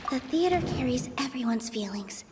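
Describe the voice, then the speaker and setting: An actress playing a gentle, earnest young woman performer in an anime video game, speaking softly and sincerely. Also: sits high, lively intonation, normal speed, background noise. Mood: heartfelt.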